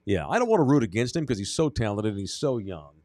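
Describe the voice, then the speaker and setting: A man's voice: fairly low pitch, very animated, fast talker, darkish tone, no echo. A man from Atlantic City co-hosting a sports podcast, talking emphatically and cursing freely. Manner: emphatic, profane, repeats lines for effect. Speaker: sports talk podcast co-host. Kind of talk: casual sports chat.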